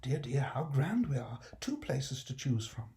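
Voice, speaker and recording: A man voicing a gentle, cheerful young working-class woman, fondly teasing and eager, in a storybook reading. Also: medium pitch, big pitch swings, unhurried. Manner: soft, delighted, eager.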